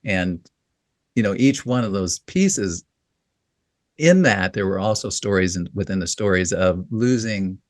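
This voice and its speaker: A man in his fifties, a guest in an interview, talking reflectively with a deep voice, often pausing and restarting with "you know". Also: animated, dry recording, clean studio sound. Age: 50s. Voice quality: deep, gravelly voice. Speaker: guest, writing coach.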